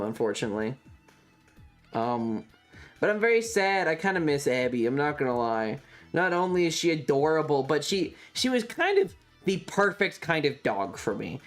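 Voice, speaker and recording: A streamer telling a casual, rambling story, swearing freely and repeating words for emphasis. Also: mid-range voice.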